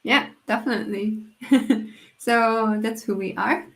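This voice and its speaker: A woman's voice: fairly high pitch, steady tone, takes it slowly, a bit bright. A woman from Japan, co-hosting a casual developer livestream in a chatty, loosely hedging way. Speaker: developer relations engineer, co-host. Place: home setup in Germany.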